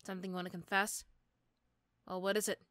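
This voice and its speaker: A woman's voice: mid-range voice, flat delivery, fast talker, a bit bright, no room sound, studio quality, close to an everyday voice. A woman playing a sardonic, threatening but begrudgingly fond female supervillain in an ASMR roleplay. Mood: dry, casual.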